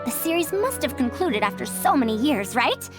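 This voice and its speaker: A very learned, opinionated anime game scholar, high and animated in voice. She speaks formally and picks at details like a fussy academic, with music playing behind her. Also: normal speed. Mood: earnest. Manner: pedantic, formal wording. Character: bookish, prim female scholar over a hundred years old, youthful and opinionated. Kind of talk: anime-style video game voice acting.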